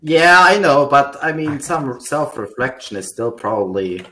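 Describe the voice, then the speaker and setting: Lively woman, native German speaker. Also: extremely low pitch, measured pace. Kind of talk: casual gaming voice chat. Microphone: online voice chat. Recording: faint noise on voice chat.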